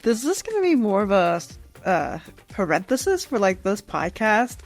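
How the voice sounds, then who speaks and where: Female podcast host. Mid-range voice, informal, halting phrasing, light music bed. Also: mid-range voice, very animated. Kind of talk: casual podcast talk.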